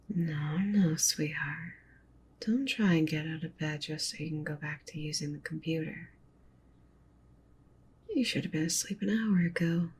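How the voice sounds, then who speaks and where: Casting: yandere wolf-witch girlfriend, played by a woman, ASMR roleplay. Lowish, creaky, whispery; doting, possessive, gently bossy. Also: slight background noise. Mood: coaxing, firm. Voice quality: soft, breathy whisper mixed with low murmur. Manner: coaxing, pet names, softly commanding.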